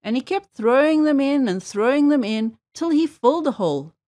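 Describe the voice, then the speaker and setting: A woman storyteller reading a children's tale aloud with expressive storybook phrasing. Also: sits high, moderate pace.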